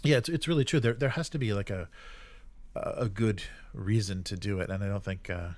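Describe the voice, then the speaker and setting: Male streamer, deep voice, dry close sound, loose hesitant rambling delivery. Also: moderate pace, a little mellow, no room sound. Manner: hesitant, rambling, trailing off mid-sentence. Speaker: live stream host. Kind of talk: casual live stream chat.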